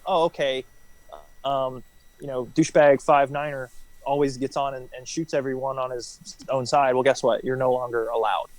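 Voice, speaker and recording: A man's voice: mid-range voice, darkish tone, no room sound, rough recording. Male interview guest, mid-range voice, informal and explanatory, conversational delivery, dry close sound. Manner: informal, explanatory, frequent "you know" and "right?". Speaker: interview guest, former military helicopter pilot.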